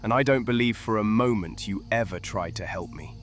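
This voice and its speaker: A man's voice: on the low side, fairly quick, soft background music, lightly acted. A man playing a roguish, theatrical treasure hunter in a fantasy audio roleplay, cheeky and dramatic in his delivery. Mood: hurt, indignant. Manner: cheeky, dramatic, emotionally swinging.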